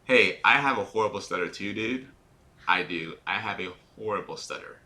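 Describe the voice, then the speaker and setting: A man's voice: lowish voice, moderate pace, bright, forceful tone. A man, an airline pilot, commenting live on a flight simulator, talking in a put-on radio-announcer voice and explaining each checklist step to viewers with small stumbles and restarts. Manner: occasional small repetitions and restarts.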